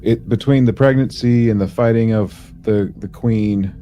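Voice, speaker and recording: Man, podcast co-host, dark low voice, halting delivery, thinking aloud, casual discussion. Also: a little hiss or hum. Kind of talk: casual chat recapping a sci-fi audio drama.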